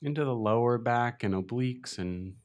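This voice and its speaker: A man leading a beginners' body scan meditation, speaking slowly and gently with long pauses. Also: mid-range voice. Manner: slow, gentle, unhurried guidance with long pauses. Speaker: meditation teacher. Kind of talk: guided meditation.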